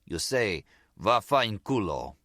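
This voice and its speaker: A man's voice: on the low side, big pitch swings, measured pace, pushed and bright, dry recording, studio quality, exaggerated voice. Man acting a cocky, menacing mob hitman. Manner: blunt, cocky, broken grammar.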